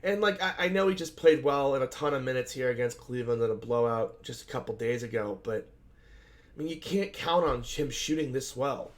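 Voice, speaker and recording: A man with a hoarse, scratchy voice hosting a solo fantasy basketball preview, talking things through with lots of fillers and self-corrections. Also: mid-range voice, lively intonation, fairly quick. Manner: conversational, filler-heavy, thinks aloud and self-corrects. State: hoarse, scratchy voice from shouting at a game. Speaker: fantasy basketball analyst host. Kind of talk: solo sports betting slate breakdown.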